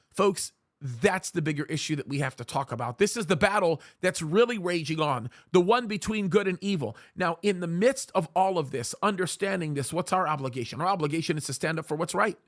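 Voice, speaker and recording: A man's voice: high-pitched, fairly bright tone, no echo, studio quality. A pastor, a man, preaching emphatically to his viewers, pleading and repeating phrases for weight. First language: Arabic is his second language, English first. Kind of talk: preaching commentary to camera.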